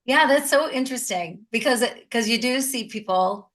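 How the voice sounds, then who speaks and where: A woman's voice: on the high side, normal speed, a bit bright. A woman in her sixties hosting a podcast interview, who affirms her guest and shares her own experience in a conversational way. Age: 60s. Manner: affirming and empathetic, with a personal story. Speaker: podcast host.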